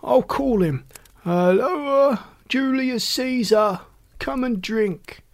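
A narrator performs a drunken, ragged man in an audiobook story, hoarse and mocking. He calls out loudly in a raised pitch, dragging and repeating his words with wild insistence. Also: close to an everyday voice. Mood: roaring, mocking. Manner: loud, drawn-out, repetitive calls.

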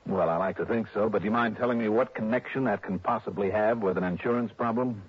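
A man playing a brisk, wry freelance insurance investigator in 1960s radio drama style. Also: lowish voice, slightly rough, no room sound. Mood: curious, casual. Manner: wry, assertive. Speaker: lead actor and narrator of a detective radio series. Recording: noisy, dull old radio recording.

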